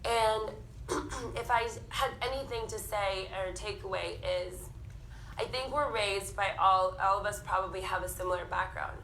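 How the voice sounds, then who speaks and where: Woman, 30s, panel speaker, bright forceful tone, roomy hall with faint hiss. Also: medium pitch, moderate pace. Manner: candid, animated storytelling. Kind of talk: personal testimony on stage. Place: theatre stage.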